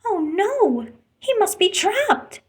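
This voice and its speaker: A woman voicing a gentle, caring young mermaid princess for a storybook read-aloud. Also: extremely high pitch, very animated, slow, voice pushed up, heavily acted. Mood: worried.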